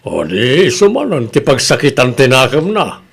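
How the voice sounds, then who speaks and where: An actor playing a blunt, grumbling middle-aged husband and uncle in an Ilocano radio comedy-drama, with a Filipino accent. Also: male voice, mid-range voice, wildly swooping pitch, moderate pace, gravelly, breathy edge, full character voice. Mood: dry, grumbling.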